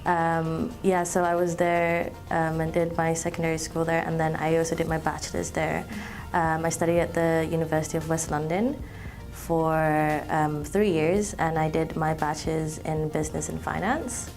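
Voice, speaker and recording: A woman in her twenties from Malaysia, a student talking in an interview, relaxed, with many ums and restarts. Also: low-pitched, normal speed, faint music behind. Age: 20s. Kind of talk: interview testimonial.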